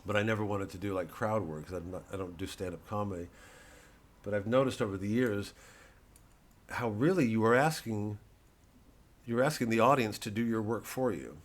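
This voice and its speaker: A man who is a comedian, talking casually to his audience in a halting, digressive monologue with repeated words. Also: fairly low pitch. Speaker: comedian, host.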